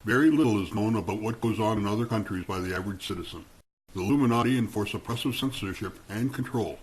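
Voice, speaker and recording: A man's voice: lowish voice, fast, slightly rough. A man reading scripted narration for a radio play.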